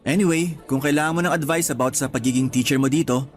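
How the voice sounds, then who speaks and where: A man's voice: mid-range voice, fast talker, close to an everyday voice. Man acting a teasing young teacher. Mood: playful, encouraging. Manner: casual, teasing, conversational Taglish. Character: friendly, teasing young male private school teacher. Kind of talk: Tagalog radio romance drama dialogue.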